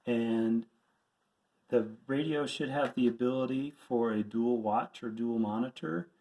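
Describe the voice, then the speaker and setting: A man speaks as an instructor in an informal, practical tutorial. His delivery is plain and instructive, taking the listener through each step in order. His voice sits at an average male pitch. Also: a little slow, dark tone, rough recording. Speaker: radio instructor.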